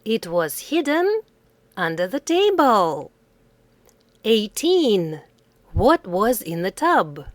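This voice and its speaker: A woman presenting a quiz for young children, asking questions and answering them in a deliberate, carefully enunciated, teacherly manner. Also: mid-range voice, big pitch swings, no echo.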